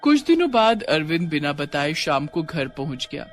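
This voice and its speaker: A man narrates a scripted story in a slightly high-pitched voice, with faint music playing underneath. He delivers it as a storyteller reading from a script. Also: very animated, moderate pace.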